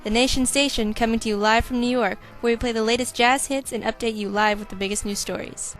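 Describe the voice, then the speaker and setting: A woman's voice: a little high, steady tone, quick pace, fairly bright tone, soft background music, lightly acted. A young woman playing a peppy, slangy 1920s radio morning hostess, reading a script like an announcer on a noisy amateur recording. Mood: upbeat, announcing. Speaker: radio show host.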